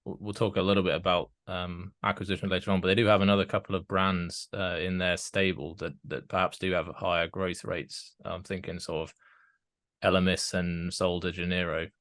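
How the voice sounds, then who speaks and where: A man hosting a casual podcast interview, putting his questions in a tentative, halting way. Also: low voice, level tone, a bit bright, no room sound, studio quality.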